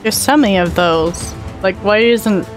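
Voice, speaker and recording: A man's voice: high voice, measured pace, faint music behind. A young adult man giving casual, sardonic reaction commentary as a gaming streamer. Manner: joking, sardonic.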